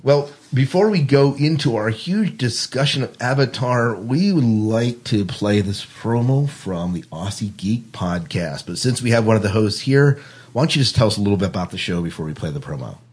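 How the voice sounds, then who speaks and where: A man hosts a podcast in an informal, chatty style. His voice sits a little low and has a husky, rough edge. He talks casually, as if among friends. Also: animated, moderate pace, darkish tone. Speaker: podcast host.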